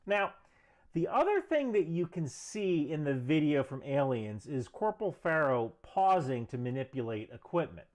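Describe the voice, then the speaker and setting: A man's voice: on the high side, very animated, normal speed. A man presenting a scripted explainer commentary with emphatic, structured delivery. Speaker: video presenter.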